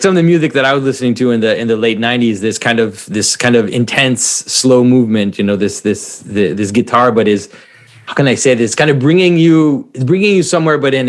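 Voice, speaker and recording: A man who is not a native English speaker, hosting a casual conversation and talking reflectively while searching for words. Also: medium pitch. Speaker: host.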